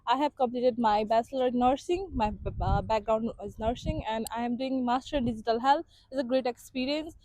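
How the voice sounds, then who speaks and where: A woman's voice: a little high, warm tone, dry recording, low-quality recording. Shy woman from Nepal, nurse-turned-student. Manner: shy, halting. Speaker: master's student.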